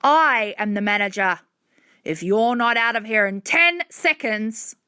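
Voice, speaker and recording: A menacing, furious man who runs a factory, acted out by a woman narrator. He is gruff and curt, throwing out threats in a storybook scene with a dry, close sound. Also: mid-range voice, lively intonation, takes it slowly, sharp tone, no room sound. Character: furious, menacing man, manager of a meat cannery.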